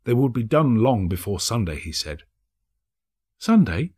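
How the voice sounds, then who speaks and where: A male actor reads a story aloud in a measured, solemn way. His pitch moves widely and expressively, and the tone has a slightly husky edge. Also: lively intonation, a little mellow. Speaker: narrator, actor reading an audiobook. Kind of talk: dramatic scripted storybook narration.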